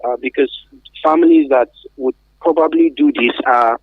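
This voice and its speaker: A man's voice: on the high side, normal speed, breathy edge, dry recording. Nigerian man on a thin phone-like line. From Africa. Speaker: guest expert on survivors of trafficking. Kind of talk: radio interview, explanatory.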